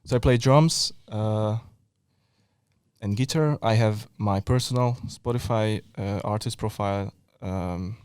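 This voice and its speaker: A young Hungarian man chatting on a podcast, his English halting and full of "uh"s as he gathers his words. His voice sits fairly low and comes across close and dry, with no room sound. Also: darkish tone, clear, resonant tone, dry recording. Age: young adult. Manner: hesitant, many fillers and restarts in non-native English. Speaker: podcast co-host. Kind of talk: casual chat. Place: indoor room, seated in front of camera.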